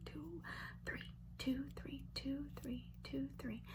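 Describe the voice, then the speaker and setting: A woman in her twenties from Brazil, whispering an ASMR question-and-answer chat close to the mic and softly repeating words over and over as triggers. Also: high-pitched, fairly even intonation, slow pace. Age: 20s. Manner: chatty, filler-heavy, with light laughs. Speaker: ASMR creator. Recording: noisy hum under voice.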